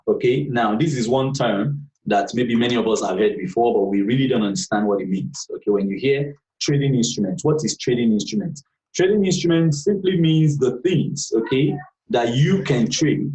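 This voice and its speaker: A man's voice: medium pitch, darkish tone, echoey room, recorded from a distance, low-quality recording. A man teaching a live online class for beginner traders, patient and repetitive, checking in with "okay" all the time. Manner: patient, repeats points, frequent "okay" checks. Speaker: trading instructor.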